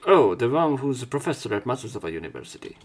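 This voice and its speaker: A young man narrating a visual novel let's play, reading the story plainly and getting louder when voicing the hero. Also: medium pitch, very animated, quick pace, noisy background. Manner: reads narration plainly, jumps up louder for the hero's lines.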